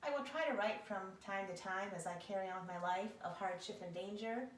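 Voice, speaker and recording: A self-pitying, indignant pet dog, played by a woman pleads and grumbles theatrically in an expressive storybook reading. The sound is echoey, picked up at some distance from the microphone. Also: medium pitch, fairly quick, close to an everyday voice. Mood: dramatic, forlorn. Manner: theatrical, wheedling complaint. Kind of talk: expressive picture-book read-aloud.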